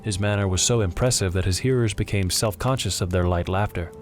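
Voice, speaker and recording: A man with a low voice narrates a dramatic story from a script. Faint music runs behind his telling. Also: brisk.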